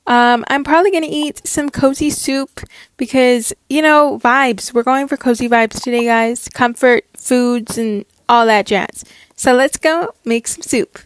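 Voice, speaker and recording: Young woman, high voice, dry close sound, casual vlog narration, chatty, informal. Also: unhurried, clear, resonant tone, dry recording. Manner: chatty, informal, filler-heavy. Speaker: vlogger.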